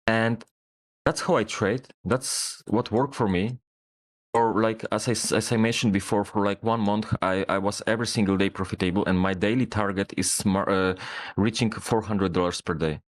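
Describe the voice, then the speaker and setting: A man speaking English as a second language, explaining his trading in a halting way with many restarts and repeated words. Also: lowish voice, fairly even intonation. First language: not English. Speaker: trader guest. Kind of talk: casual call explaining charts.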